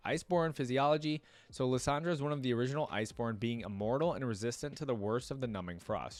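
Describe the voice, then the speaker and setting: A man's voice: on the low side, dry recording. A man hosting a reaction video, reading text aloud and commenting on it in an informal, thinking-aloud way. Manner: informal, thinking aloud between read passages.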